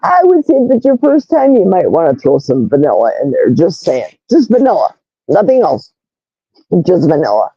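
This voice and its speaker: A woman with a loud, raspy, brash voice hosting a casual livestream, reading chat comments aloud and greeting viewers. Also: deep voice, normal speed, dry recording, highs cut off. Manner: animated, reads comments aloud, squeals greetings. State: hoarse, vocal fry.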